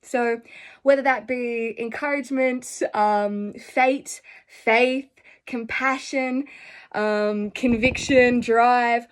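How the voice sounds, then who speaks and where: A young Australian woman telling personal stories to camera in an earnest, effusive, rambling way. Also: a little high. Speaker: spiritual vlogger. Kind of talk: casual personal storytelling to camera.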